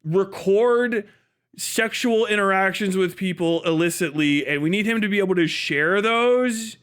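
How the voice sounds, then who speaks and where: High-pitched, blunt, profane American man ranting. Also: measured pace, fairly bright tone, smooth. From United States. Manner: emphatic, acts out mock dialogue. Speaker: livestream commentator. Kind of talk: unscripted livestream rant.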